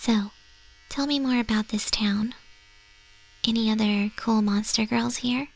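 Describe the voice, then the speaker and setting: A woman voicing a friendly goth moth monster-girl tattoo artist in an ASMR roleplay, chatting gently in a soft, breathy, often whispered voice. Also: a little high, fairly even intonation, a little slow, poor audio. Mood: curious, chatty. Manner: gentle, casual, chatty, reassuring. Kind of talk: ASMR roleplay, spoken to the listener. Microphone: close microphone.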